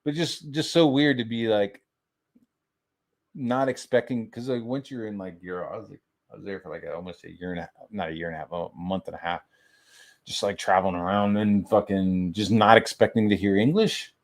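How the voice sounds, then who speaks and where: American male streamer, lowish voice, loose rambling anecdotes, sweary and repetitive. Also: fairly even intonation, fairly quick. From United States. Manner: profane, slangy, repeats himself and restarts often. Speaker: livestream host. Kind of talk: rambling casual chat, telling personal anecdotes.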